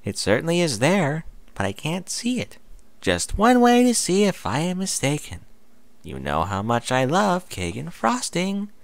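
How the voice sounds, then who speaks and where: A man voicing a merry, excitable young female pony in a read-aloud story, with playful, exclaiming delivery. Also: on the high side, a little slow, creaky, no room sound, pitched above the performer's own voice, heavily acted. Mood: eager.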